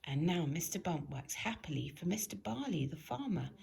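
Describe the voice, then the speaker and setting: A woman narrates a children's storybook with a very animated, swinging pitch. Her voice sits a little low for a woman and has a creaky, gravelly edge. She tells it expressively, putting clear emphasis on key words. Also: normal speed. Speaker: storybook reader.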